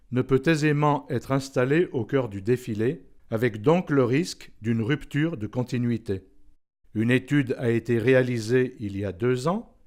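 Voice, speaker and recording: A man's voice: mid-range voice, a little mellow. A man reading formal, scripted narration for an institutional documentary.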